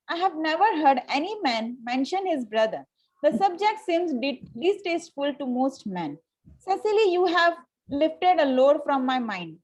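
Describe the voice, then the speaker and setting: Woman playing a high-pitched haughty young Victorian lady. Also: rough recording. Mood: prim, confiding. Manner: formal, stumbles over lines. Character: haughty young upper-class Victorian lady, coolly polite. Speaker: table-read participant. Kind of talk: amateur reading of a Victorian comedy play.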